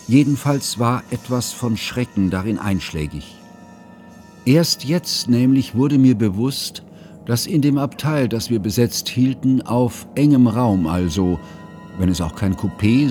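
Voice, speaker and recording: An educated actor as male narrator in a radio play, with a low voice. He delivers ornate sentences in a measured, deliberate way, like an observant witness, with music behind him. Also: a little mellow, lightly acted. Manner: formal, ornate, deliberate phrasing.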